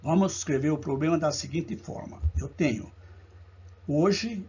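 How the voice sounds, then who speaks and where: A man's voice: on the high side, dark tone, breathy edge, lo-fi sound. A man with a Brazilian Portuguese accent teaching math for a public exam, working through problems step by step and counting out each part aloud. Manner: slow, deliberate, counts steps aloud. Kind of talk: exam-prep lesson walkthrough.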